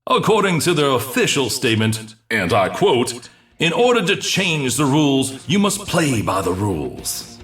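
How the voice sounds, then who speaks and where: A man playing an excitable, dramatic sports commentator giving play-by-play in a cartoon. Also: medium pitch, unhurried, soft background music. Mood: wry wrap-up.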